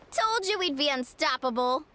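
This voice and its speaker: A woman's voice: sits high, pushed and bright, full character voice. A woman playing a playful, exuberant and confident young fighter in a video game. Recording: game music behind.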